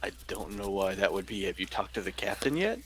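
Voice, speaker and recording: Give me a man playing a flustered young medic student, hesitant and stammering, with a lowish voice. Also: expressive, fairly quick, background noise. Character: young male medic student, groggy and confused. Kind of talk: in-character roleplay.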